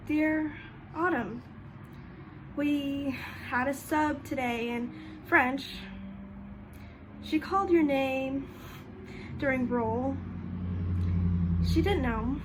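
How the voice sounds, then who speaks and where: A subdued, grieving schoolgirl played by a young woman speaks in slow, halting phrases. The voice is high, in a stage drama with slight background noise. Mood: subdued grief.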